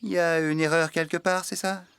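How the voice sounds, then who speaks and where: A man's voice: fairly high pitch, level tone, brisk, creaky, fairly bright tone, clear, resonant tone, no echo. A man acting a good-natured, forgetful young farmhand in a French children's cartoon dub, with a sheepish, hesitant manner. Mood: sheepish, flustered.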